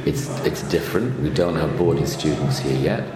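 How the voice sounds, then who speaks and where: A man's voice: extremely low pitch, expressive, moderate pace, music in the background. A man from the Manchester area, answering informal interview questions as a headmaster. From Manchester area, England. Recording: echoey reverberant room, clear background noise.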